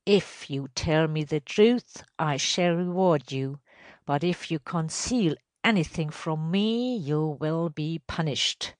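A woman voicing a domineering, vindictive male estate superintendent in a storybook reading, questioning loudly and emphatically. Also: deep voice, takes it slowly, dry recording. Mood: commanding. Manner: loud, emphatic interrogation.

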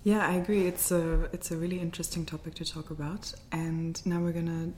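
A woman's voice: on the low side, flat delivery, fairly quick, warm tone, a little hiss or hum. A woman hosting a podcast, asking long questions in a casual interview. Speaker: podcast host.

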